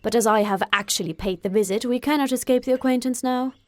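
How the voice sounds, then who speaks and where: A woman's voice: fairly high pitch, a little mellow, close to an everyday voice. Woman playing a wry, teasing middle-aged father. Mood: wry, sly. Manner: wry, measured, mock-solemn. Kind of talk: audiobook character acting.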